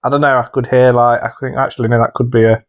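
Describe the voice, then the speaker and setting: A man's voice: medium pitch, level tone, quick pace, muffled recording. A man co-hosting a podcast, reacting in casual comic chat. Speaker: podcast co-host.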